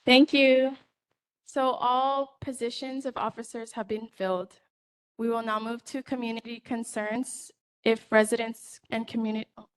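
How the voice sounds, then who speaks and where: A woman's voice: a little high, fairly even intonation, measured pace, smooth, rough recording. A woman chairing a public neighborhood meeting, procedural and courteous.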